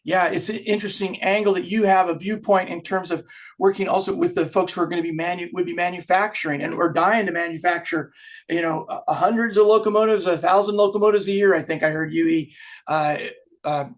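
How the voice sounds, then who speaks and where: Man, higher voice, panel moderator, casual. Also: fairly even intonation, brisk. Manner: thanks speakers and introduces the next. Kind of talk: webinar moderation.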